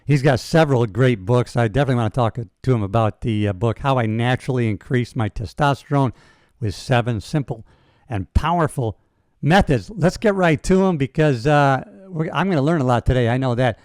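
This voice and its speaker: A man's voice: mid-range voice, fairly quick, darkish tone. A man in his sixties hosting his own podcast, upbeat and buddy-like. He has a mid-range voice, and the sound is dry and close with no room echo. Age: 60s. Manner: enthusiastic, buddy-like, calls guest brother. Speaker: podcast host. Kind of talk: casual interview talk.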